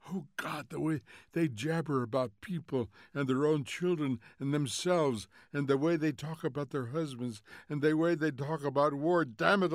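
A man's voice: fairly high pitch, no room sound, studio quality, voice pushed up, lightly acted. A man voicing an angry, anguished rebel, explosive and exasperated, in an audiobook. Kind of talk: audiobook character voice.

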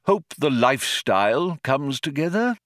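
Man putting on a fussy, wavering old gentleman. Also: medium pitch, big pitch swings, unhurried, no room sound, clean studio sound, pitched above the performer's own voice. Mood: cold, sad. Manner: hesitant, courteous, indignantly lofty. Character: polite, fussy old man, proud craftsman, easily affronted.

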